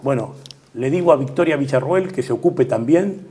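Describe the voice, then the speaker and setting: An older man with an Argentine Spanish accent giving measured political commentary as an interview guest, trailing off at the ends of phrases and filling pauses with "este". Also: mid-range voice, expressive, dark tone, slight background noise.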